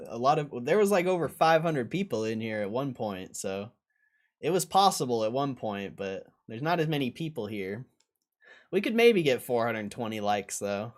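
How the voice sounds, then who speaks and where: A man hosting a livestream, chatting casually and informally with viewers in slangy, often repeated phrases. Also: mid-range voice. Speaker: livestream host. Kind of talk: casual livestream chat, reading out viewer comments.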